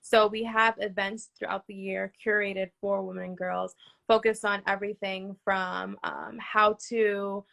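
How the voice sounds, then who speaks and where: Woman entrepreneur, interview guest, creaky voice, earnest and filler-heavy. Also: medium pitch, little pitch movement, unhurried, fairly bright tone. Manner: earnest, frequent "um" fillers and "right?" tags. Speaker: entrepreneur and nonprofit founder. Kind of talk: interview guest answering questions.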